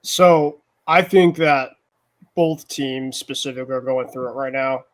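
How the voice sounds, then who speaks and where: A man's voice: mid-range voice, unhurried. A man making casual game predictions as a sports panelist.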